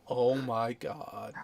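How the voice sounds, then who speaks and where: A man's voice: mid-range voice, creaky, darkish tone. A man hosting a casual podcast chat, explaining things and giving opinions in an easygoing way. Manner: chatty, explains things, opinionated about sports.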